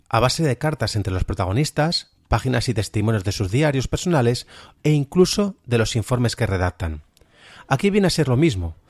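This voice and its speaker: A man's voice: medium pitch, a little mellow, no echo, clean studio sound. A man presenting a podcast review of audio fiction in an informal, emphatic way. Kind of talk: review narration.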